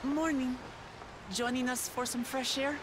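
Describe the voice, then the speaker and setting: A woman's voice: sits high, little pitch movement, measured pace, noisy background. An actress playing a plain-spoken young woman traveller in a video game, weary of the sea and informal in manner. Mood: weary, friendly. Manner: casual, informal.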